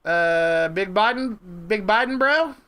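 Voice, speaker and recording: A man's voice: sits high, lively intonation, slow, bright, forceful tone, clear, resonant tone. A man in his thirties chatting casually and teasingly, asking probing questions like an interviewer. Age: 30s. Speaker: prank caller and interviewer. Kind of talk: casual teasing phone chat.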